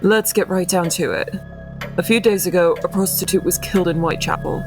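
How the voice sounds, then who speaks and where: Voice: mid-range voice, steady tone, a little fast, music in the background, close to an everyday voice. An actor playing a cold, serious young English boy earl in a manga dub, speaking in a curt, formal, commanding way. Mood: grave. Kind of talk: scripted anime-style manga dub acting.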